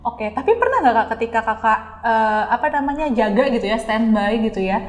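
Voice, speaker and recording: A woman hosting a casual interview, chatty and quick to chime in with agreement. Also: on the high side, expressive, soft background music. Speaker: podcast host interviewing a guest. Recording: echoey room with long reverb.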